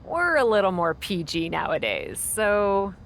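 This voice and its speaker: A woman's voice: mid-range voice, sing-song, measured pace, creaky, fairly bright tone, slight background noise, exaggerated voice. A woman playing a cocky, sarcastic young pro wrestler in a video game cutscene. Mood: wry, casual.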